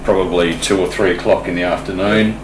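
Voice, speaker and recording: Low-voiced man in an echoey room. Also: fairly even intonation, brisk. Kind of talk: informal explanatory talk. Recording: echoey room, distant mic, faint noise.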